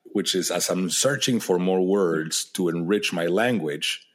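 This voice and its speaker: A man's voice: low voice, measured pace. A man hosting a podcast in a conversational interview, speaking English as a second language after Spanish, often repeating words as he searches for them. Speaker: podcast host.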